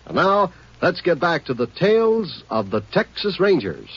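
Higher-pitched, animated vintage radio announcer man. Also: moderate pace, breathy edge. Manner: polished, formal announcer delivery. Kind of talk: scripted 1950s radio promotional announcement. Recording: muffled vintage radio broadcast recording.

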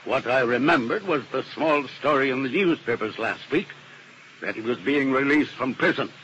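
A man's voice: medium pitch, expressive, moderate pace, a bit bright, natural voice, low-quality recording. An actor playing a curt, irritable older businessman in a vintage radio drama, brusque and imperious. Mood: defensive. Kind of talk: 1950s radio drama acting. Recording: hissy vintage radio broadcast.

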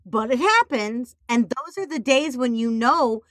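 A woman's voice: a little high, expressive, a little slow, a bit bright, no echo, studio quality. A woman hosting a podcast who chats playfully and animatedly and speaks directly to the listeners. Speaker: podcast host. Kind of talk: casual conversation.

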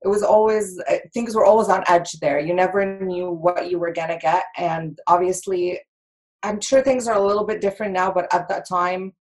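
A woman's voice: lowish voice, steady tone, moderate pace. A woman in her thirties from Egypt, a podcast guest talking chattily with lots of laughs and fillers. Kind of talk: casual interview chat.

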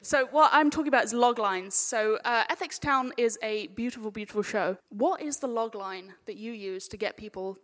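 A woman's voice: fairly high pitch, moderate pace, hardly any pauses, fairly bright tone. A woman chairing a live panel informally, with a jokey, cheeky manner. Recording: echoey hall with long reverb.

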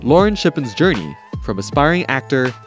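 A man's voice: medium pitch, sing-song, normal speed, music in the background. A man hosting a podcast, moving between scripted narration and interview conversation. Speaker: podcast host.

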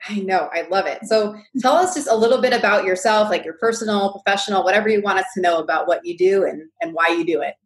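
A woman's voice: mid-range voice, quick pace, few pauses, rough recording. A woman hosting a podcast, upbeat and enthusiastic as she introduces the show and her guest. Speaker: podcast host, coach.

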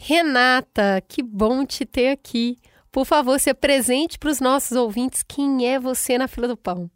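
Uma mulher brasileira, co-apresentadora de podcast, abrindo o episódio e apresentando as convidadas. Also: female voice, sits high, a little slow, dry recording, studio quality. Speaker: podcast co-host. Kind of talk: podcast conversation.

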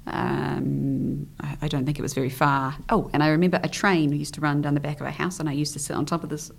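Low-voiced woman in casual interview. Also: little pitch movement, a little fast, creaky. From Isle of Man. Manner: chatty, hesitant, with frequent ums and trailing phrases. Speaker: interview guest.

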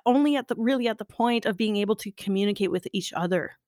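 A woman's voice: medium pitch, fast talker, darkish tone, no echo, studio quality. A woman hosting a casual conversational interview in an encouraging way. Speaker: interview host, science streamer.

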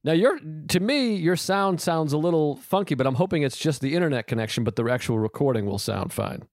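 A man's voice: on the high side, animated, brisk, no echo, studio quality. A man hosting a podcast interview over a call, joking, swearing and fretting about the audio. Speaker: podcast host.

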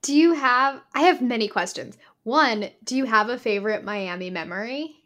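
Bright-voiced woman, an enthusiastic podcast host. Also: on the high side, expressive. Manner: enthusiastic, asks quick follow-up questions. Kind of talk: casual interview chat.

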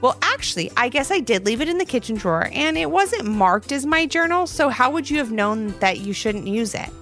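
Lady doing a squeaky excitable kid brother, storybook voice, high and bouncy, faint music behind. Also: fairly quick, exaggerated voice. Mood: apologetic. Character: young boy, bickering brother who draws comics.

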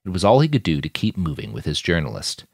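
A man's voice: sits low, very animated, quick pace, dry recording, clean studio sound. A man reading scripted fiction as the narrator of an audiobook. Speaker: author narrator.

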